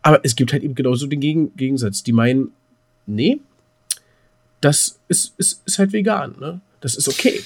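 A man in a casual German podcast chat, talking in an animated, colloquial and teasing way as co-host. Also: mid-range voice, normal speed, gravelly, husky.

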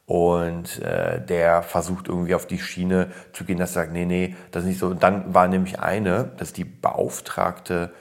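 A man's voice: deep voice, monotone, moderate pace, gravelly, hardly any pauses. A man from Berlin, born in Poland, hosting a podcast and chatting casually with lots of restarts and fillers. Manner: rambling, frequent restarts and fillers, blunt. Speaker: podcast host. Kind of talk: casual opinion chat.

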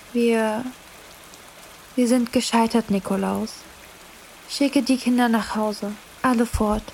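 Young girl, tender and pleading; slow, slightly high, faint rain noise. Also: a little mellow, low-quality recording. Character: young girl gently urging her beloved to give up.

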